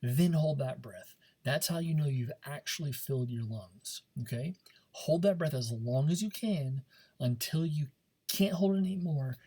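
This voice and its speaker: Male speech teacher, soft-edged dark tone with some rasp, informal coaching delivery for a classroom lesson. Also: a little high, normal speed. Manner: informal, coaching, checks understanding with frequent "okay" and "right".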